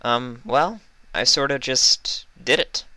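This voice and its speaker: A man voicing a downcast, awkward female unicorn in a story reading, speaking haltingly. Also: medium pitch, pushed and bright, no echo, voice pushed up. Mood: embarrassed.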